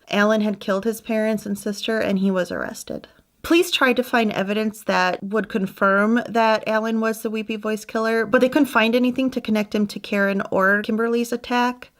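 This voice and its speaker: A woman hosting a true crime channel, narrating in a casual, conversational way with personal asides. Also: on the high side.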